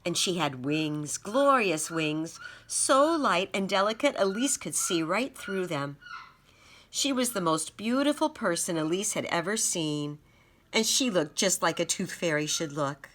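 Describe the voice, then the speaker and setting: A woman reads to children as a storyteller and teacher. Her voice is slightly low yet bright, with lively intonation and deliberate emphasis. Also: a little hiss or hum. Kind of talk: children's read-aloud and simple lesson.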